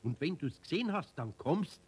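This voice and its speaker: A kindly Bavarian master craftsman, no longer young. His voice is husky and pitched fairly high for a man, patient and gently teasing, in the manner of a 1970s children's radio play. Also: very animated, moderate pace, heavily acted. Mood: coaxing, amused.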